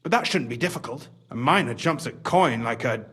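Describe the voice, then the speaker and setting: An actor voicing a cunning, excitable official in a medieval video game, wordy and theatrical in a conspiratorial way. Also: male voice, mid-range voice, fairly quick, breathy edge. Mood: scheming. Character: cunning, excitable scheming male mine official, smugly pragmatic. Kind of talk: video game cutscene acting.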